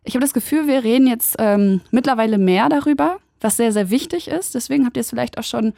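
A woman's voice: a little high, fast talker, vocal fry, no echo, studio quality. Chatty woman hosting a call-in show. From Germany. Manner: chatty, animated, asks listeners questions. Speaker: radio call-in host. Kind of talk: casual call-in chat.

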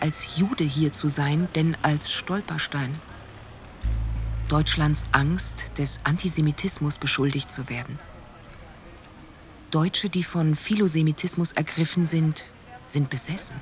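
A woman reciting scripted literary statements for a radio play, declarative and deliberately phrased. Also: low voice. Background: music.

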